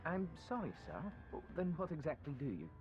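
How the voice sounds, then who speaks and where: A man's voice: on the high side, wildly swooping pitch, normal speed, dull audio, music in the background. A man playing a deferential, somewhat nervous military engineer in a video game, formal and dutiful. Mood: uneasy. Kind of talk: video game cutscene acting.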